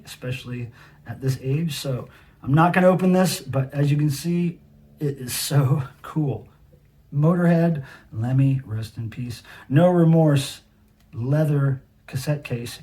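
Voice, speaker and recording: A man's voice: mid-range voice, warm tone. A middle-aged man presents items in a casual show-and-tell style. His voice sits in an ordinary middle register. He speaks straight to the viewer as an enthusiastic fan and host. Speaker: video host, cassette collector.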